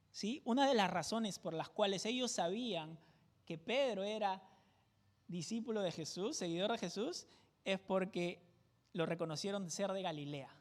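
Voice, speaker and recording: A man's voice: high-pitched, long reverb tail. A man from Peru with a Peruvian jungle accent, teaching a verse-by-verse Bible study in a conversational way and often checking in with his listeners. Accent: Peruvian jungle (selva) Spanish. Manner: conversational, keeps checking in with tag questions.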